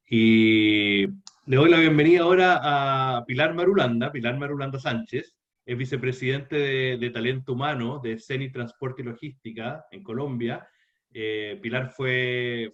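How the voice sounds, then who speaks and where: A man moderating an online panel, welcoming guests with polite, formal introductions. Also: medium pitch. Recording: dull lo-fi audio.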